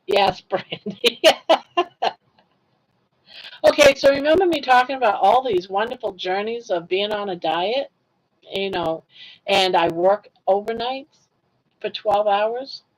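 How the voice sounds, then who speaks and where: A woman's voice: medium pitch, slow, pauses often, low-quality recording. An older woman hosting a casual livestream, telling stories in a chatty way, laughing often and reacting to chat comments. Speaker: livestream host.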